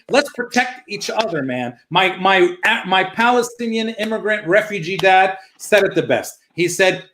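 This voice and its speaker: A man's voice: very high-pitched, a bit bright, slight background noise, poor audio. A young adult man from the United States doing a stand-up comedy set over a live stream, telling a story and acting out the voices of the people in it. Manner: storytelling, acts out his mom's and friend's lines in quick back-and-forth.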